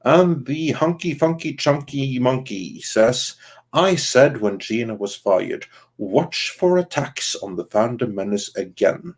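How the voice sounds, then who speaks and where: A man's voice: mid-range voice, animated, slow pace. A man hosting a podcast livestream and reading out viewer comments. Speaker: podcast host.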